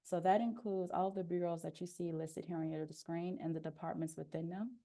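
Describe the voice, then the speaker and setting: A soft-spoken woman walks viewers through an informational webinar. Her voice sits a bit low and carries a gravelly fry. She explains steadily with plenty of "um"s, speaking through a headphone mic on a video call. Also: fairly quick. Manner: explanatory, steady, with frequent "um" fillers. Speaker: deputy procurement officer presenting a webinar.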